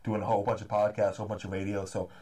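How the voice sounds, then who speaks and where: A man's voice: fairly low pitch, slightly rough. A man in his thirties from the Los Angeles area, a promoter chatting eagerly as an interview guest, often tacking "man" onto his sentences. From Los Angeles area, California. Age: 30s. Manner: fast, energetic, repeats "man" often. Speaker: comedy and hip-hop promoter, guest. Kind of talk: casual interview chat.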